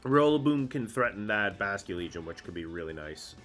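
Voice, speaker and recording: A man's voice: lowish voice, animated, a little fast, a bit bright, faint music behind. Congested man explaining game strategy. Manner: chatty, explains strategy, frequent ums. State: congested from a cold. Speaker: Pokemon VGC content creator. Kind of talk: casual game commentary.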